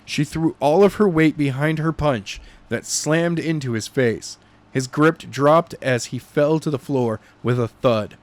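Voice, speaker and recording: A man narrating a novel chapter in an animated amateur audiobook style, stumbling and correcting himself as he reads. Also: on the high side, dry recording. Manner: halting, stumbling read with self-corrections.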